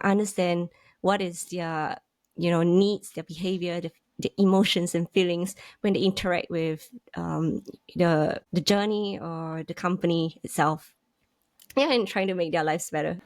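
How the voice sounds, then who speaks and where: Singaporean woman podcast guest in her thirties, slightly low voice, recorded dry and close. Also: dry recording. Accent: Singaporean English. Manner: thoughtful, restarts phrases, frequent fillers. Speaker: guest, UX manager. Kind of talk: podcast interview.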